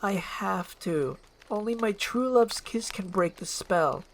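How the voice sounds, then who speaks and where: A woman voicing an earnest, anxious young princess who hides an ogre form, in an animated film script. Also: mid-range voice, takes it slowly, a little hiss or hum, voice pushed up, poor audio. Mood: sad, earnest.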